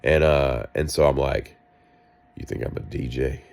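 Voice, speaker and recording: A man with a deep voice, sick and coughing, telling a rambling, wry story in a vlog. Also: steady tone, unhurried, gravelly, a little mellow. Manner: wry, self-mocking, meandering asides. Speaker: vlogger.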